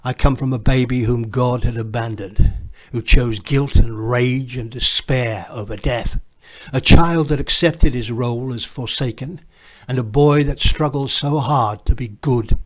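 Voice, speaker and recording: Older man reciting poetry. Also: mid-range voice. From northern England. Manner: measured, reflective recitation of a list poem. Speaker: poet. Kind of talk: poetry reading.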